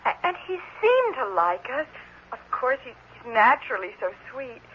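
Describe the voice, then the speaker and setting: A flustered, apologetic foster mother in a vintage radio drama. Her high voice swings widely and she halts and repeats herself. The recording is old and muffled. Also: very animated, fairly bright tone, slight background noise. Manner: halting, stammering, repeats words. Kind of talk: 1970s radio drama acting.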